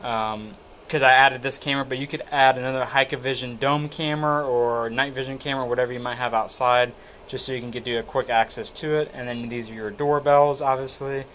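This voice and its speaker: A man with a mid-pitched voice presents a hands-on product walkthrough. He explains things casually and plainly, one step at a time. A faint air-conditioning hum sits behind him. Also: steady tone, a little fast, fairly bright tone. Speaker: tech tutorial presenter.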